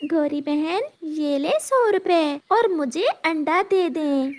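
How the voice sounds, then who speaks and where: Woman voicing a gentle, high-pitched cartoon mother bird. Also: takes it slowly. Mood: polite. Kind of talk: Hindi children's cartoon voice acting.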